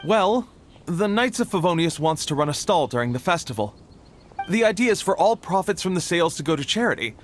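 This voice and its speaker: A man's voice: high-pitched, very animated, a little fast, slight background noise. A man playing an earnest, anxious, overly polite young logistics worker in a video game, speaking formally and a little flustered. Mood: anxious, humble. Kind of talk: video game cutscene acting. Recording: music behind the voice.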